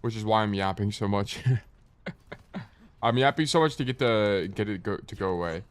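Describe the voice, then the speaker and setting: A man's voice: on the low side, normal speed, smooth. A young man streaming and coaching a game, chatting casually with a stuffy, nasal morning voice and rambling as he answers chat. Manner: rambling, joking, reads chat aloud and answers.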